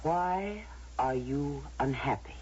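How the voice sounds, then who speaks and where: A dull old radio recording of a probing official guarding compulsory happiness. The voice is husky, persistent and didactic, moving widely in pitch. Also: expressive, slow pace, a little mellow. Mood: probing, insistent. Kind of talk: old radio drama acting.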